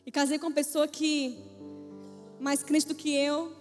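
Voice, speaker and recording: A woman's voice: high voice, flat delivery, a little slow, a little mellow, in a large hall, faint music behind. A woman preacher telling a humorous personal testimony to a church audience, acting out the voices she quotes. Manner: playful storytelling, acts out quoted voices.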